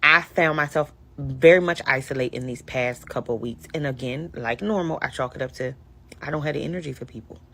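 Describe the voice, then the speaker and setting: Low gravelly woman, slow confessional talk. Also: a bit bright. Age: 30s or 40s. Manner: slow, emphatic, reflective. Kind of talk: confessional vlog.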